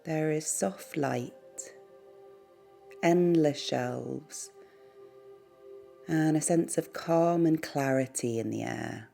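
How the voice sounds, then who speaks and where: A woman leading a guided meditation in a soothing, gentle, unhurried way, pausing between short phrases. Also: low voice, vocal fry. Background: background music.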